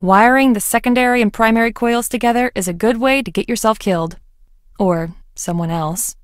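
A woman's voice: on the high side, normal speed, no echo, close to an everyday voice. Woman playing a wry young lab scientist, dry recording. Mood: wry, reflective. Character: young Southern woman research scientist, wry, guarded, friendly. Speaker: voice actor in a video game. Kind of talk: video game dialogue acting.

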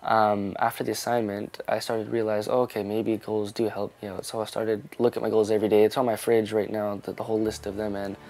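Flat-voiced teenage boy, casual talk. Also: fairly low pitch, quick pace, hardly any pauses. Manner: casual, plainspoken, a bit flat. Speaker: student. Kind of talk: interview testimonial. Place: indoor office.

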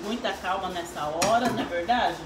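A woman's voice: mid-range voice, fairly quick, heavy background noise. Chatty woman in her fifties, roomy kitchen. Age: 50s. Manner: chatty, laughs a lot, frequent "né, ó". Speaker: home cook hosting a live stream. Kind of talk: casual cooking chat. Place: home kitchen, indoors. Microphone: phone camera.

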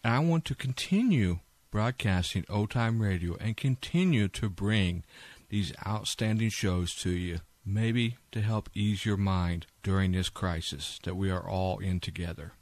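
A man hosting a podcast, delivering a scripted intro and appeal in a deliberate, earnest announcing manner. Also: deep voice, darkish tone. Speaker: podcast host and internet radio operator.

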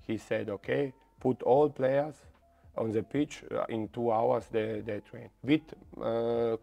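A middle-aged man, a native Croatian speaker, talking as a guest in English that halts and repeats words, sometimes getting animated when telling a story. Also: medium pitch, slow, gravelly. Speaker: football coach, guest. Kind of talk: casual interview in non-native English. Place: dark studio set with red armchairs. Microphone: lapel mic.

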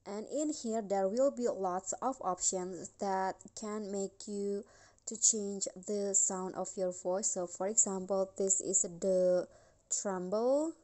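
Mellow-voiced woman hosting a tutorial. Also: medium pitch, slow pace. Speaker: tutorial host. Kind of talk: casual software tutorial narration.

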